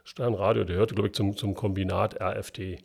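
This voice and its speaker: A man's voice: on the low side, flat delivery, brisk, creaky, a little mellow. A man from East Berlin with a Berlin accent, a guest talking plainly about his work, with many restarts. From East Berlin, Germany. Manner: plainspoken, frequent restarts and fillers. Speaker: works council chair, guest. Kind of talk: casual interview talk.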